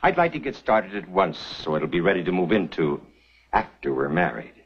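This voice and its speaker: An actor playing a pompous, domineering middle-aged church elder in a 1950s television western, formal and self-important. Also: male voice, sits low, very animated, normal speed, husky, full character voice. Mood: smug, commanding. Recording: muffled old TV soundtrack.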